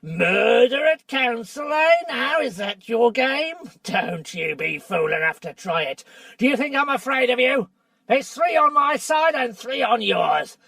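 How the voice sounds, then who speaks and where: A storybook reader voicing a bitter, quarrelsome male dwarf who argues hotly and shouts. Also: medium pitch, big pitch swings, a little slow, no echo, distant mic, pitched above the performer's own voice. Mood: defiant. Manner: heated, defiant, shouting.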